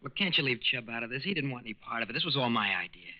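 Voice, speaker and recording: A man's voice: mid-range voice, expressive, racing, natural voice. An actor playing a naive, nervous young working man, hesitant and boyish, in a 1940s radio crime drama. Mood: sheepish. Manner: hesitant, stammering, boyish slang. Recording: muffled 1940s radio recording.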